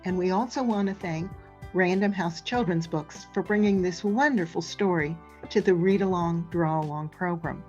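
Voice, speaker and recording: A woman's voice: lowish voice, moderate pace, darkish tone. Encouraging woman hosting, slightly muffled over music. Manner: encouraging, complimentary. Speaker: host of an educational read-along and draw-along program. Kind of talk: scripted hosting, addressing parents, teachers and viewers.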